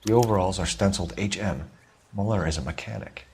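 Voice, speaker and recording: Low-voiced American police detective. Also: male voice, brisk, a little mellow, a little hiss or hum. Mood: businesslike. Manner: clipped, probing, formal. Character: composed, pointed 1940s American police detective. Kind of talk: cinematic video game acting.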